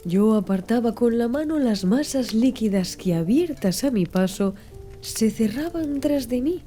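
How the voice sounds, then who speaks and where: A woman narrating a literary audiobook in a measured, expressive storytelling manner. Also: medium pitch. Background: music under the voice.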